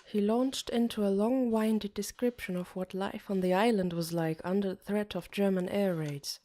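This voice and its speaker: A woman narrating an audiobook as a pensive, uneasy teenage boy, in a measured, introspective way. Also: medium pitch, fairly even intonation, mellow, natural voice. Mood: somber.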